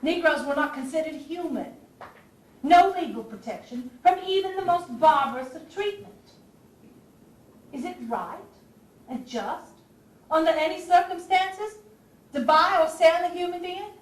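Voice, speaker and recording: An echoey, distant stage recording with faint noise carries an actress as an impassioned Southern lady of the Civil War era. Her voice is very high for a woman. She declaims to the audience like a spy confessing her cause, theatrical, with dramatic pauses and pointed questions. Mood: grave. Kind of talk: stage play monologue addressed to the audience.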